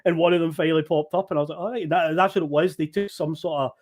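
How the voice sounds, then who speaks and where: Animated Scottish man telling a story. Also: fairly high pitch, fast talker. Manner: animated, swearing anecdotal storyteller. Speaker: podcast guest. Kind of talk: casual storytelling chat.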